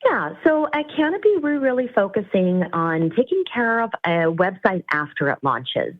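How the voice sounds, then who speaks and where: A woman's voice: medium pitch, big pitch swings, normal speed, no echo. A woman from Costa Rica who runs a web agency, explaining her business steadily in an interview. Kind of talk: interview answers. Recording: tinny phone-like line, dry.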